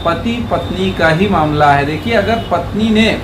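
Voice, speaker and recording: A man's voice: high voice, normal speed. A man giving an informal legal explainer to viewers, talking conversationally and directly, with rhetorical questions. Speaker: legal advisor presenting a video. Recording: echoey room with clear background noise.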